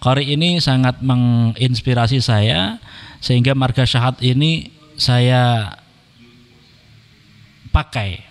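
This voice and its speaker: A man talks politely and at an even pace as a podcast guest. His voice sits in a middle pitch and carries a long, echoing reverb from the room. He fills his pauses with "eh" and "ya.". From Indonesia. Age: 30s. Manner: measured and polite, frequent "eh" and "ya" fillers. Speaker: podcast guest, Quran reciter. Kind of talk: casual podcast conversation.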